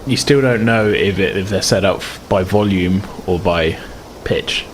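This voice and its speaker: Deep-voiced guy gm, easygoing, lots of ums and kinda, faint hiss behind. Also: measured pace. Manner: hedging, filler-heavy, easygoing. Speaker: game master. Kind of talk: narrating a tabletop game.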